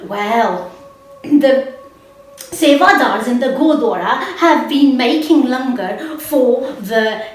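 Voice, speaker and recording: A woman's voice: high voice, expressive, slow, far from the mic, slight background noise. A woman playing a patient, encouraging lady teacher in a children's puppet show, explaining things simply. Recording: very echoey, roomy sound.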